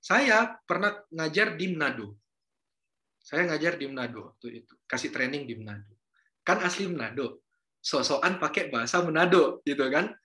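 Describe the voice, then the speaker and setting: A man's voice: on the high side, pushed and bright. A man giving a casual university lecture, chatting with students, asking them questions and slipping in Javanese and English words. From North Sulawesi, Indonesia.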